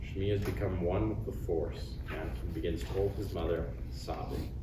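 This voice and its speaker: Low-voiced man narrating, echoey and distant. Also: steady tone, normal speed. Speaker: stage directions reader. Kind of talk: scripted narration at a table read. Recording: roomy, distant, clearly noisy recording.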